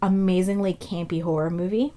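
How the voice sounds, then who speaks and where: A young woman co-hosting a podcast, English not her first language, chatting casually and acting out quoted dialogue with big jumps in pitch. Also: steady tone, fairly quick, dark tone. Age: young adult. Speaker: podcast co-host. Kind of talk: casual chat and storytelling.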